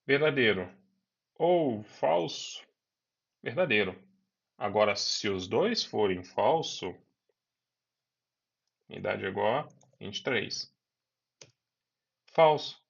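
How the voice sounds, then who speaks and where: A man's voice: medium pitch, fairly bright tone, breathy edge. A man teaching a programming lesson, talking students through each step and repeating key words. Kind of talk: screen-recorded coding lesson. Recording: dull lo-fi voice recording.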